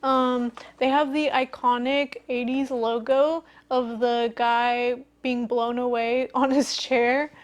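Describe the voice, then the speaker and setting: High-pitched woman, casual show-and-tell. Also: little pitch movement, slow pace, hardly any pauses. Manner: enthusiastic, informal, youthful slang. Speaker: music collecting video host.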